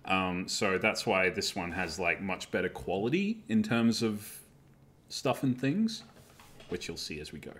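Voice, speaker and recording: A man hosting a casual group dubbing stream with jokey, deadpan sarcasm. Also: sits low, unhurried. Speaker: host of a group live dub stream. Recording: game music behind the voice. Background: game music.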